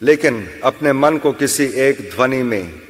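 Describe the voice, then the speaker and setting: A man with a mid-pitched voice guides a meditation very slowly. He gives measured instructions and leaves long pauses between phrases. His voice rings in a long, hall-like reverb. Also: expressive. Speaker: yoga nidra instructor.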